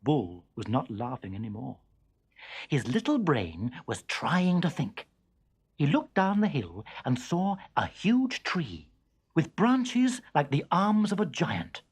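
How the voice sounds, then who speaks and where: Guy reading a kids story, unhurried and dramatic, voice swooping about, a bit husky. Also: fairly high pitch. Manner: expressive, suspenseful, savouring the sound words. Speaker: storybook narrator. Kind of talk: dramatic children's story narration.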